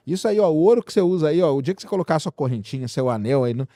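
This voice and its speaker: A man's voice: on the high side, expressive, rapid-fire, warm tone, no echo, clean studio sound. A man with a Brazilian accent hosting a casual science chat, addressing the audience with rhetorical questions and everyday examples. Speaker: science show host.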